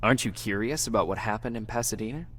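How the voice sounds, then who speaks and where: A man's voice: on the low side, quick pace, a bit bright, a little hiss or hum, lightly acted. A man playing an earnest, guarded soldier hero in a video game. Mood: calm, concerned. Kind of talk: video game dialogue acting.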